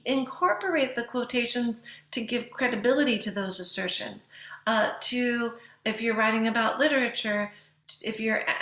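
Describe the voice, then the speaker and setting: A woman teacher giving a lecture to students, stressing key points emphatically and slowing down on them. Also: high voice, fairly bright tone, reverberant. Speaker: English professor teaching writing.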